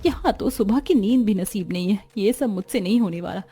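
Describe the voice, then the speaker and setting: A voice actress playing a young new bride who grumbles and whines about housework in an animated story. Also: female voice, mid-range voice, a little fast, husky. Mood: grumbling. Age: young adult. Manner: whiny, grumbling. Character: young lazy new bride, whiny and complaining.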